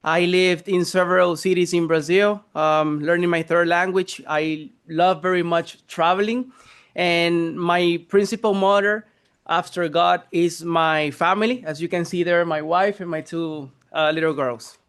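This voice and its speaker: High, smooth-voiced man from the Dominican Republic. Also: steady tone, a bit bright. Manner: earnest, slightly halting. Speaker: startup founder presenting. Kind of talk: pitch presentation.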